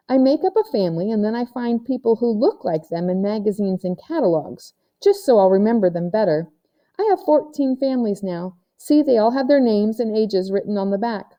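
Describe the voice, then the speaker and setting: Woman as an earnest young girl, very mellow. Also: mid-range voice, close to an everyday voice. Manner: earnest, explanatory. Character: earnest, imaginative sixth-grade girl, friendly and patient.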